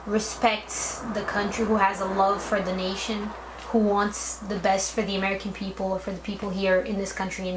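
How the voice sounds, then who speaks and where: A young woman from Brazil answering interview questions in a casual, thoughtful way, with a lot of "like" and "you know.". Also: medium pitch. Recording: echoey room with background noise.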